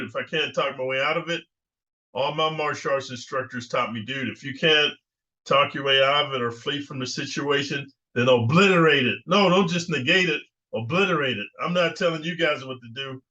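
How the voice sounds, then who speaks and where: A man's voice: a little high, normal speed, bright, forceful tone. An older man hosting his own channel, talking in an assertive, rambling way with lots of fillers and restarts. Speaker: YouTube channel host. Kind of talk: casual commentary monologue. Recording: muffled lo-fi recording.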